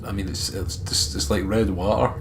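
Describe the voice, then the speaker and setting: A man's voice: deep voice, fairly even intonation, normal speed, vocal fry, background noise. A man giving casual, joking co-commentary on a game, swearing freely. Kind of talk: casual gaming banter.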